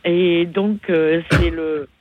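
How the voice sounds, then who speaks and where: A woman in her sixties phoning in to a radio show, talking haltingly with many fillers and pauses. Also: lowish voice, steady tone, phone-quality sound, background noise. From born in Canada, raised partly in Africa. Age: 60s. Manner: hesitant, halting, many fillers, slow and sorrowful. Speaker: caller. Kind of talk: phone call to a radio show. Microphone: telephone.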